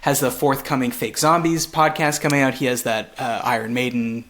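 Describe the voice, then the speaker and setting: A man's voice: medium pitch, fairly even intonation, normal speed, a bit bright. A man from Montreal chatting casually and jokingly as a podcast co-host, swearing now and then. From Montreal, Canada. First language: English. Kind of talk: casual comedic podcast chat.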